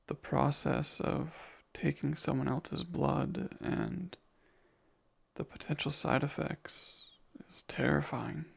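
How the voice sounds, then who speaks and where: A man acts out a kind, haunted vampire in an intimate ASMR roleplay. He speaks slowly and tenderly, choosing formal words with care. The voice is deeply creaky, full of vocal fry, and hesitant with remorse. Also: a little mellow, heavily acted. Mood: tender, uneasy. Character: kind, gentle, remorseful male vampire comforting someone. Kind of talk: ASMR audio roleplay, soft intimate monologue.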